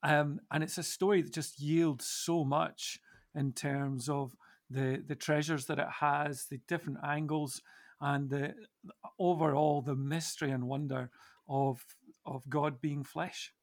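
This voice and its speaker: A man's voice: fairly high pitch, fairly even intonation, unhurried. A man speaking as a church minister on a podcast panel, reflecting casually and hesitantly as he thinks aloud.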